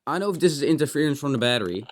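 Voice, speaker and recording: A man's voice: fairly high pitch, animated, very fast, a little hiss or hum. A man giving a casual, unscripted hands-on gadget demo, rambling and thinking aloud with some mild swearing. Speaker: host of a tech teardown channel.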